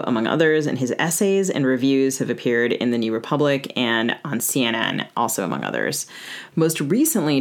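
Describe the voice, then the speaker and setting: A man's voice: medium pitch, vocal fry. Male co-host reading a guest biography. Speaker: podcast co-host. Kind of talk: scripted guest introduction.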